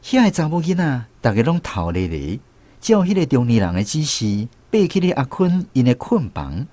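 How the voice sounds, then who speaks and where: A man's voice: medium pitch, sing-song, normal speed, mellow. A man with a Taiwanese accent reading scripted story narration in a radio drama.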